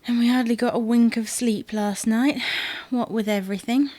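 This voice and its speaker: A woman playing an anxious, fussing wife who is quick to contradict in a domestic audio drama. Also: fairly high pitch, fairly even intonation, dark tone, no room sound, close to an everyday voice. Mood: defensive, unsettled. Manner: fretful, insistent. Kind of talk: domestic comedy-drama dialogue.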